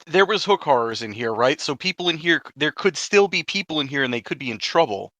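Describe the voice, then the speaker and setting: A man's voice: fairly high pitch, animated, brisk, sharp tone, dry recording. A man playing a tabletop roleplaying game, casually reasoning things out in a practical way.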